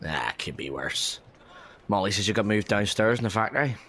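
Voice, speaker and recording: A man's voice: fairly low pitch, animated, brisk, sharp tone, full character voice. An actor playing a chatty, cheeky young Victorian working man and father in a historical audio drama, bantering jovially. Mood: jovial, wry.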